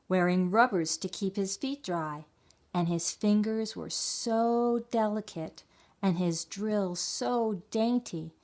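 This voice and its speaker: A woman's voice: on the low side, a little mellow. A woman reading a picture book for a library storytime, explaining and asking questions as if to young children.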